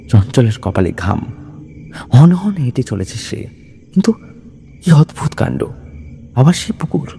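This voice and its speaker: A man narrating scripted horror stories in a suspenseful, deliberate way, with dramatic pauses between phrases. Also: mid-range voice, vocal fry, warm tone.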